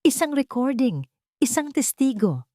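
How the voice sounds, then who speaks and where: A woman voicing a tired, scheming woman lawyer in a story, measured and earnestly persuasive. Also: high voice, sing-song, dark tone, no echo, clean studio sound, pitched above the performer's own voice.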